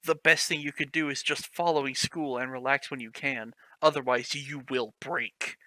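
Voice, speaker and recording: Bright, sharp-toned man reading mockingly. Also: medium pitch, steady tone, moderate pace, dry recording, low-quality recording. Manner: mocking, giggly, swears freely. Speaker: reader of a fan fiction. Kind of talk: comedic dramatic reading with commentary.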